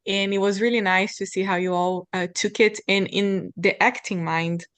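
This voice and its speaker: A woman's voice: mid-range voice, fairly even intonation, moderate pace, fairly bright tone, clear, resonant tone. Woman giving casual, reflective feedback.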